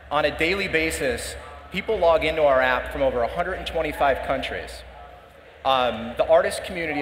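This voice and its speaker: Measured male founder on stage, a bit high-pitched, big echoing hall. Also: fairly bright tone, a little hiss or hum. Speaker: startup co-founder. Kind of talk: panel conversation. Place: conference stage in a large hall. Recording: roomy hall reverb, faint ambience.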